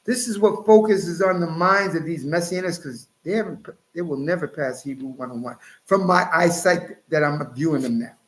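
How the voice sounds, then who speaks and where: A man's voice: a little high, roomy sound, poor audio. A man preaching and reading scripture in a lecturing, emphatic way, now and then jumping into mocking voices. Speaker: Hebrew Israelite teacher.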